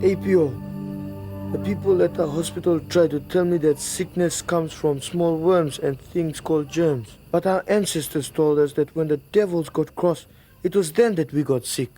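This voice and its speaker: Man playing a high-voiced, stubborn village man. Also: normal speed, dark tone, soft background music, natural voice. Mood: questioning. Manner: grumbling, defensive. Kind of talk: acted educational film dialogue.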